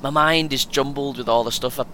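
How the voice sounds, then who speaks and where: A man's voice: fairly even intonation, brisk, pushed and bright, natural voice. A man playing a cheeky, chatty British runner in his twenties in an audio play, with a casual, laddish manner. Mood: friendly. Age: young adult. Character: cheeky, chatty young male marathon runner, 23. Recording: clear vehicle rumble noise. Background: vehicle ambience.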